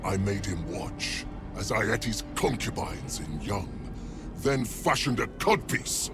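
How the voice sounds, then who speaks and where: Savage, menacing devil beast, wildly swooping. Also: male voice, fairly low pitch, big pitch swings, slow pace, over music, heavily acted. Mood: menacing, brooding. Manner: menacing, theatrical, swinging from hiss to roar. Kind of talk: theatrical video game villain acting.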